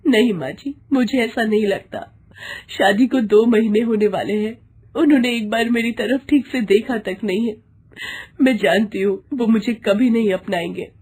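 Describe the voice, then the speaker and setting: A woman's voice: a little high, moderate pace, darkish tone, husky, voice pushed up. A woman voicing a sad, dutiful young daughter-in-law, hesitant and submissive, in a moral story. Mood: despondent.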